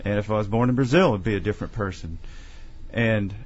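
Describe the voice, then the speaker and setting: A man with a fairly low voice speaks in a measured, earnest way as an author answering questions in an interview. The sound is dull and lo-fi, like an older broadcast recording. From Tennessee. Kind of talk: conversational interview.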